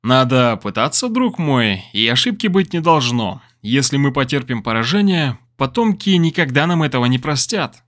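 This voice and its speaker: A man's voice: medium pitch, sing-song, moderate pace, fairly bright tone. Grave, weary businessman voiced by a man. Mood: urgent, grim. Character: weary, earnest businessman, a determined resistance conspirator.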